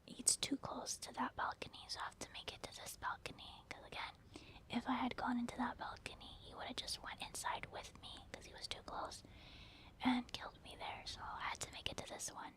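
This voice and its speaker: A woman giving casual, rambling ASMR commentary on her video game play in a breathy whisper, explaining her thought process. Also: a little high, monotone, a little fast, fairly bright tone. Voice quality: breathy, mostly unvoiced whisper. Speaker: gaming ASMR creator.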